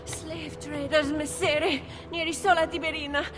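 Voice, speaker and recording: An actress playing a frightened young courtesan in a video game, panicked and pleading, with an Italian accent. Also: female voice, high-pitched, level tone, moderate pace, background noise, lightly acted. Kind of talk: video game cutscene acting.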